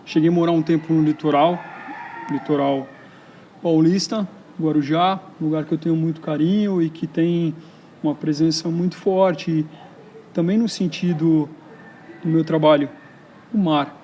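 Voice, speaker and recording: Reflective São Paulo poet, mellow voice. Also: male voice, on the high side, steady tone, highs cut off, a little hiss or hum. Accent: São Paulo Brazilian Portuguese. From São Paulo, Brazil. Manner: reflective, halting, frequent hesitation fillers. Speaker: poet and writer, interview guest. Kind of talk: casual interview conversation. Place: outdoors in a park.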